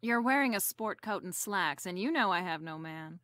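A woman's voice: on the low side, animated, moderate pace, a bit bright. An actress playing a wisecracking, flirtatious female private eye with a snappy, wry manner in a radio detective drama. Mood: bossy. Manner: snappy, wry, hard-boiled. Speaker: lead actress. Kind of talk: remake of 1940s radio detective drama, with narration.

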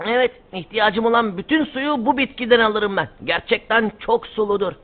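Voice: mid-range voice, normal speed, lightly acted. An actor voicing a friendly, helpful young mountain animal in a children's cartoon dub, chipper and encouraging. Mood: explaining. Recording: dull lo-fi audio with music behind.

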